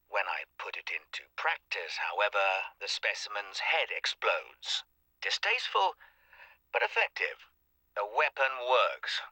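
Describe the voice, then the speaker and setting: A man's voice: on the low side, pushed and bright, breathy edge, no echo, exaggerated voice, poor audio. Game role: cold, brooding male Inquisitor-scientist, piercing delivery, very dry close sound. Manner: imperious, clinical, brooding. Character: driven male alien-hunting Inquisitor, cold and obsessive scientist. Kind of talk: dramatic sci-fi audio log narration.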